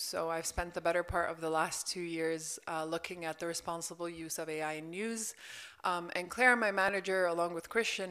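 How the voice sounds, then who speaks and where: Young woman on a panel, smooth clear voice, pretty flat and even, measured. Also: fairly low pitch. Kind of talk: conference panel introduction. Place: conference hall stage. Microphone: table microphone.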